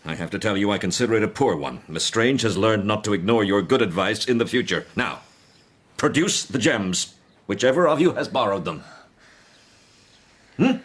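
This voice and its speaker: A man's voice: lowish voice, animated, normal speed, a bit bright, slightly rough. An actor playing a stern, indignant middle-aged upper-class father, commanding and formal in a period detective radio drama. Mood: stern, pressing.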